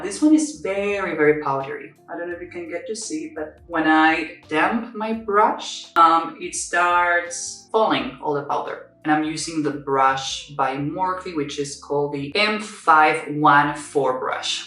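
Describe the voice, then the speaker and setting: A man's voice: fairly high pitch, unhurried, few pauses. Young man, Spanish accent, casual step-by-step makeup talk, roomy echo, faint background music. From Spain. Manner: chatty, explains step by step, self-correcting. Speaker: makeup vlogger. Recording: echoey room, somewhat far from mic. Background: soft music.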